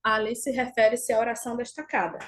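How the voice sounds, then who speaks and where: A woman's voice: fairly high pitch, flat delivery, fast. A woman teacher, giving a recorded grammar lesson over slides and explaining step by step with small restarts.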